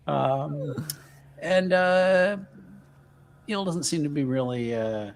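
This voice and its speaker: A man playing a tabletop roleplaying game, talking casually and haltingly, thinking aloud and joking as he improvises. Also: a little high, gravelly.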